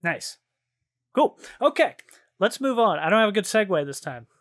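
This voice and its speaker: Higher-pitched man chatting casually on a podcast. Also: very animated, moderate pace, fairly bright tone. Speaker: podcast co-host. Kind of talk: casual chat about games and films.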